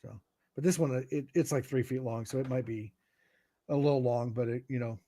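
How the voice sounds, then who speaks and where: A middle-aged American man giving informal explanations in a halting way, as if thinking aloud. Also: mid-range voice, steady tone, warm tone. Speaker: guest radio expert.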